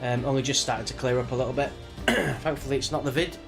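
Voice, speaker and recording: A British man talks casually to his livestream viewers with music playing behind him. He sounds a little sniffly from a cold. His delivery is chatty and rambling, at a middle pitch. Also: fast, creaky. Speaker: live streamer, sim racing driver. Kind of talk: casual livestream chat before a race.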